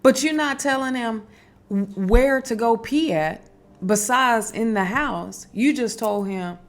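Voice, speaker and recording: A woman hosting a casual spiritual advice talk, speaking emphatically with fillers and restarts and a strong, loud voice. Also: fairly high pitch, unhurried. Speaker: spiritual wellness host.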